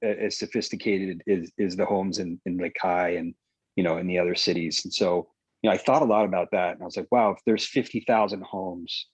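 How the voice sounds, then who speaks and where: A man giving a casual, halting account as a panel guest, thinking aloud. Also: low voice, fairly even intonation, breathy edge, no room sound. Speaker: panel guest, relief worker.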